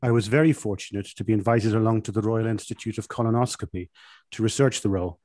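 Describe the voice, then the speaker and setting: A man's voice: fairly low pitch, fast talker, darkish tone, no room sound, studio quality. A man reading a comic first-person monologue in the style of an actor recalling a role. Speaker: reader.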